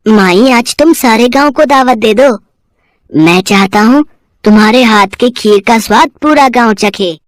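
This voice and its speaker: Boy god, cartoon storybook female performer: high, sweet, calmly commanding, dry sound. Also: unhurried, no room sound, lightly acted. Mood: gently instructing. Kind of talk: devotional cartoon storybook voicing.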